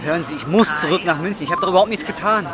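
An actor in his twenties playing a desperate, bitter, down-and-out young man in a radio drama, speaking bluntly in a husky voice. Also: a little high, rapid-fire, music in the background, natural voice. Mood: pleading, defensive. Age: 20s. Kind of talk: naturalistic radio drama acting.

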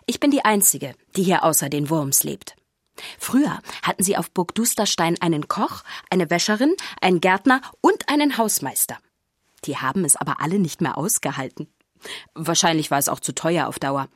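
Slightly low, chatty storytelling maid. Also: female voice, lively intonation, normal speed, husky, no echo, studio quality. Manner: conversational, confiding. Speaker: first-person narrator. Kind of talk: scripted storytelling for children.

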